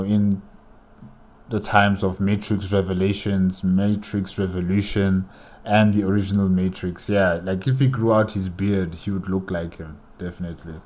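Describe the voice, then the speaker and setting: A man with a very low voice gives off-the-cuff reaction commentary. He rambles casually, working out his thoughts aloud as he watches. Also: a little mellow. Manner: rambling, repeats "you know", thinking aloud. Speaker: reactor commenting on a video game movie.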